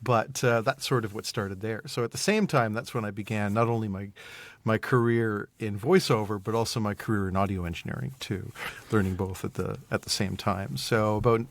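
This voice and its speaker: Low-voiced man chatting haltingly. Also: fairly even intonation, no echo. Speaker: podcast guest, voice actor and audio engineer. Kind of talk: casual interview chat. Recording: faint background noise and low rumble.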